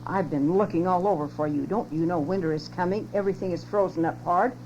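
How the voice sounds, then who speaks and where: A woman's voice: on the low side, quick pace, mellow, natural voice. An older woman reading aloud, voicing a stern but caring mother bear in a storybook, on a lo-fi home cassette tape with hum. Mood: motherly.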